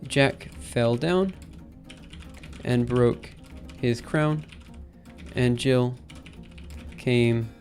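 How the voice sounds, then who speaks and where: A man live-streaming his coding session, thinking aloud in an upbeat, chatty way while typing, his voice a little raspy. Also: mid-range voice, very slow, pauses often, darkish tone, faint music behind. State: slightly raspy after a drink. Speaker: programming livestreamer. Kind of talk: live coding stream, casual talk-through.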